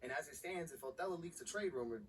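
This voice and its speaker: A man's voice: a little high, expressive, fast, natural voice. A male actor playing a pushy, scheming sports agent in a video game cutscene, persuading in emphatic slang. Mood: scheming, insistent. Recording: noisy, distant game capture.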